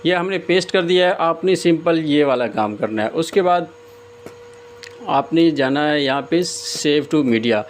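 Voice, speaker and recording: A man giving a casual step-by-step screen tutorial as host, often pausing hesitantly mid-sentence. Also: a little high, animated. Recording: clear background noise, room ambience.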